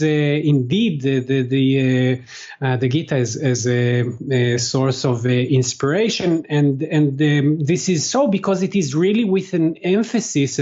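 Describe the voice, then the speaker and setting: A man whose first language is Hebrew, answering interview questions as a translator, pausing often with fillers and restarts. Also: a little high, darkish tone, lo-fi sound. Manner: halting, many fillers and restarts. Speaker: guest, translator.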